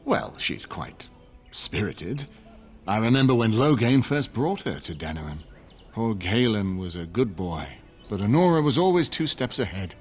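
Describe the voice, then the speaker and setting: A man playing a shrewd, seasoned older nobleman in a fantasy video game, speaking with deliberate, paternal authority. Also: lowish voice, big pitch swings, faint music behind. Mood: wry, reminiscing.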